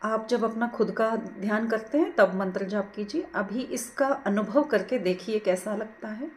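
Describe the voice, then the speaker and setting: A woman leading a guided meditation, giving soothing, gentle, unhurried instructions. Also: medium pitch, a little hiss or hum. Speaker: meditation teacher.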